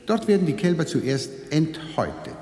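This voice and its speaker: A man's voice: fairly high pitch, wildly swooping pitch, moderate pace, a little mellow. A man acting in a radio drama as an evasive, officious slaughterhouse manager who guides a tour with pedantic precision and veiled menace. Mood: clinical, matter-of-fact. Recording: echoey hall with long reverb.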